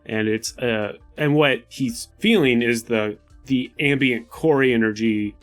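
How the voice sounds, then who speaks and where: A man's voice: medium pitch, measured pace. A man with a mid-range voice takes part in a tabletop roleplaying game. He talks casually and explains his ideas as he forms them, with faint music underneath. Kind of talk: casual collaborative worldbuilding talk.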